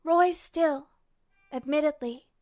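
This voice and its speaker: A woman's voice: high-pitched, slow pace, a little mellow, no echo, clean studio sound. A woman narrating fan fiction as a scripted story reading.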